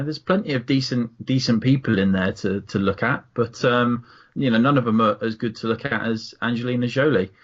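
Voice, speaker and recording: A co-host with a mid-pitched voice rambles cheekily, halting and repeating himself as he goes. The recording is dull and lo-fi. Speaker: podcast co-host. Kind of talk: casual panel discussion. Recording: dull lo-fi sound.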